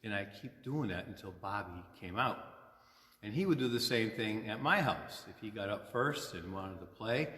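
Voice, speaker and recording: A man in his sixties, a priest, talking informally and simply to children at storytime, gently explaining and checking in with questions. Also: on the low side, lively intonation, normal speed. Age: 60s. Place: inside a church. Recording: echoey, long reverb of a church.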